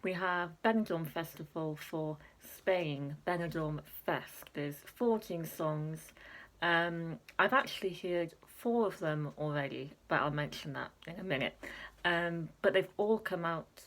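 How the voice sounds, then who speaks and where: A young woman chatting casually to camera, filling pauses with ums and laughing at herself. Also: lowish voice, a bit bright, rough recording. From Wales. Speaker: Eurovision reaction vlogger.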